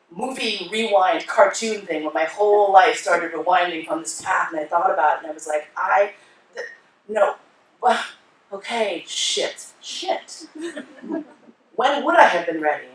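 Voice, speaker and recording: Woman keynote speaker, profane comic storyteller, mid-pitched voice, faint room noise. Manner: frank, profane and comic, acting out her own past lines. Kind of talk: live storytelling talk to an audience. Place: conference hall. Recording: roomy hall, voice from far off.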